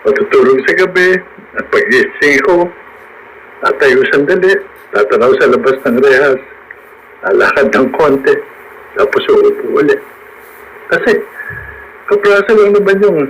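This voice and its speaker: A man's voice: fairly high pitch, normal speed, long pauses, slight background noise, natural voice, rough recording. Weary jailed father played by a man. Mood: resigned, weary. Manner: wry, resigned. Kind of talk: radio drama acting.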